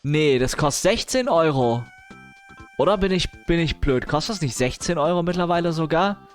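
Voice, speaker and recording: Higher-voiced man, slangy streamer chat. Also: expressive, normal speed, faint music behind. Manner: slangy, mixes English gamer slang into talk, grumbling asides. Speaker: live streamer. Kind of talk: casual livestream chat, reacting to news and viewers.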